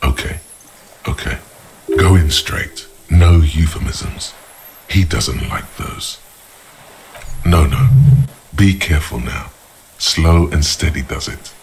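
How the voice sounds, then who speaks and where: An actor playing the brooding inner voice of a detective in a video game, narrating in a measured, ominous, gravelly way. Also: male voice, very deep voice, slight background noise, full character voice. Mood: urging. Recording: loud music behind the voice. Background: music.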